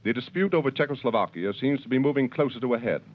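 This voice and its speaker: A man's voice: mid-range voice, expressive, fast. A man reading a formal 1930s news bulletin on the radio. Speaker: news announcer. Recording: tinny old radio broadcast.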